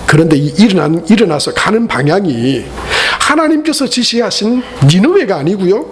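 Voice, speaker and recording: A high-pitched male pastor preaches in a church sanctuary. He is earnest, yet he throws in playful asides to his congregation. A faint hiss of noise sits under his voice. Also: big pitch swings, normal speed. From Gyeongsang region, Korea. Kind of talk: Sunday sermon.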